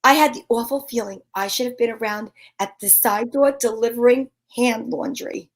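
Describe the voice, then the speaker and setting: A sassy, self-assured lady detective, acted by a woman in an old-time radio mystery. Her voice sits high, and she delivers slangy, wry lines as both sleuth and narrator. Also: moderate pace, lightly acted. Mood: wry narrating. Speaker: lead actress and narrator.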